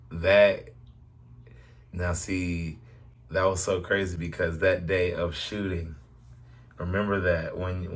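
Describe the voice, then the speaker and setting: Man, fairly low pitch, slow pace, blunt, slangy, casual conversational interjections.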